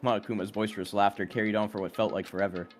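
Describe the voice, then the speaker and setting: Male actor as earnest teen protagonist, troubled and brooding, low register, dramatic anime game delivery over music. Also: steady tone, rapid-fire, lightly acted. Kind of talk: anime game dub, dramatic inner monologue and confrontation.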